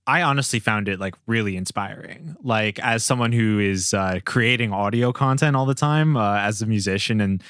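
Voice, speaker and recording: Man, lowish pitch, podcast host, jokey mock-earnest delivery, casual chat, very dry recording. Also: normal speed, hardly any pauses, fairly bright tone, smooth, dry recording.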